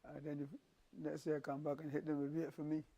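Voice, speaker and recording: A man's voice: on the high side, quick pace, muffled recording. An older man, a calypso singer telling rambling stories in an interview. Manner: rambling, animated storytelling with repeated restarts. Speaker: calypsonian guest. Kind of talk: casual interview storytelling.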